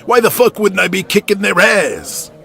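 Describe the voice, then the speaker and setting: A man's voice: high-pitched, lively intonation, normal speed, creaky, bright, forceful tone, full character voice. An actor playing a brash, grumbling man of eighties pro wrestling, blunt and argumentative, in an audio drama. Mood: indignant. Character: brash, foul-mouthed, grumbling men of 1980s pro wrestling.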